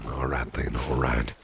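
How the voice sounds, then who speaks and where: ASMR roleplay casting: male actor as attentive, coaxing boss, very low slow voice, noisy background. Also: heavily acted. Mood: genial, attentive. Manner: unhurried, coaxing, repeats his phrases. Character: genial, attentive male boss, courting his dinner date.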